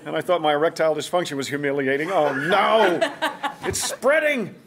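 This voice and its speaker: A man's voice: a little high, animated, normal speed, background noise. An actor playing a slobbish, sarcastic astronaut in his late forties at a comedy table read. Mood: horrified.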